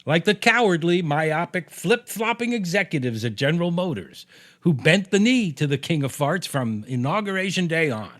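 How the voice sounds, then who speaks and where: A man's voice: on the high side, animated, normal speed, fairly bright tone. An American man reading his own opinion piece aloud in a punchy, sarcastic, salty-tongued way, as a podcast host.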